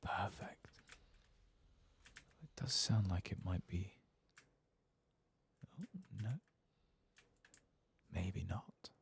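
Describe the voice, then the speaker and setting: Man, very low gravelly voice, soft ASMR game commentary, unhurried, close dry mic. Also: slight background noise. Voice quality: soft, low-volume voice, sometimes dropping to a whisper. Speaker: gaming ASMR channel host. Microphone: close, dry microphone.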